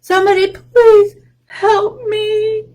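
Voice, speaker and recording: A woman's voice: very high voice, flat delivery, slow, mellow, pitched above the performer's own voice. Woman doing a squealing panicky little crocodile. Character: small green crocodile, frightened and fussy.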